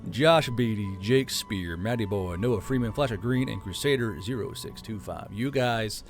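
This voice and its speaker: A man's voice: on the low side, normal speed, over music. A man who reads his own stories on his channel, then talks casually in a rambling, joking, sometimes profane way. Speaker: storyteller and channel host. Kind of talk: audiobook narration and casual outro.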